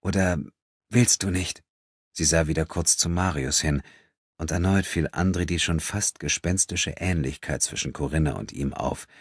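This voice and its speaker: A man's voice: extremely low pitch, normal speed, breathy edge, dry recording, studio quality. A man reading a novel as a dramatic literary audiobook narrator.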